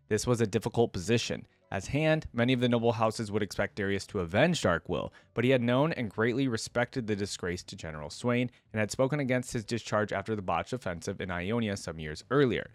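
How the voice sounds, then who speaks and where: A man's voice: lowish voice, no echo. A man hosting a casual reaction video, switching between chatty commentary and reading lore aloud with informal asides. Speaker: YouTube reaction host.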